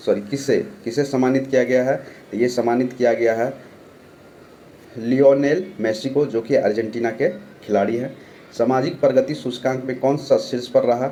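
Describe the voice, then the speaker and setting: A young Bihari man with a sore throat runs an online current-affairs lesson. His voice has a dark, mellow tone. He reads out each question, gives the answer, and repeats the names to remember, with faint noise behind him. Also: mid-range voice. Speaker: online exam-prep teacher.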